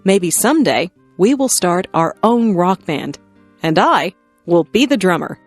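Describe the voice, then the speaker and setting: A woman with a slightly low voice reads short, simple stories aloud as scripted lesson narration. Her phrasing is careful and orderly, one plain sentence after another. The sound is close and dry, with little room around it. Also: expressive, unhurried, no echo, faint music behind. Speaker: narrator of an English listening lesson.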